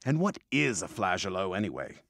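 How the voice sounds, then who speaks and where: A man narrating and hosting an old-style radio drama, dropping into dramatic hushes. His voice is deep and husky yet lively in its rises and falls. The sound is dry and close. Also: fairly quick, dry recording. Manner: dramatic, hushed storyteller delivery. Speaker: narrator and host.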